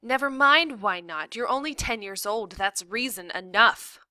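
A woman's voice: fairly high pitch, animated, a little slow, pushed and bright, no echo, studio quality, full character voice. A woman voicing an exasperated, firm mother in a story, speaking sternly and emphatically. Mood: stern, impatient.

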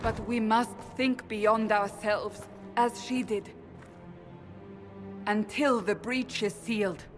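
A woman's voice: mid-range voice, fairly even intonation, slow, over music, lightly acted. An actress playing a stern, guarded woman warrior in a video game, speaking in a grave, clipped, authoritative way.

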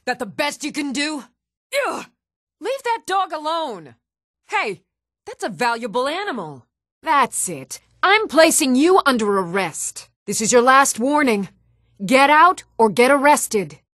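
A woman's voice: high voice, sing-song, a little slow, fairly bright tone, no room sound, clean studio sound, exaggerated voice. A woman playing a stern, challenging Nord townswoman ready for a fight in a fantasy video game. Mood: stern warning. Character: fierce, even-tempered Nord townswoman warrior, commoner in a fantasy world. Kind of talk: fantasy video game combat and reaction lines.

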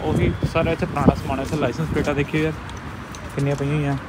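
A man's voice: a little high, little pitch movement, rapid-fire. A young man from India with a Punjabi accent, chatting casually as a travel vlogger while walking and showing things around. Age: young adult. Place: outdoor city markets and streets. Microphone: handheld camera or phone at arm's length. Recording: noisy outdoor street and traffic sound.

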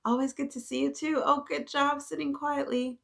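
A woman in her thirties reading aloud to young children, animated and encouraging, often addressing them directly with questions. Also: high-pitched, normal speed, creaky. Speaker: children's story-time host. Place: small decorated indoor room.

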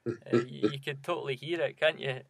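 A man's voice: medium pitch, a little fast, creaky, fairly bright tone, no echo. Male podcast host, dry close sound. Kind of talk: casual chat.

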